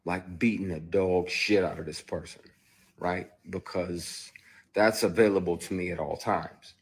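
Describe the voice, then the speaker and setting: A man with a very deep, husky voice tells his own story candidly. He is blunt and emphatic and swears freely as he talks. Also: fairly even intonation, a little slow. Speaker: actor.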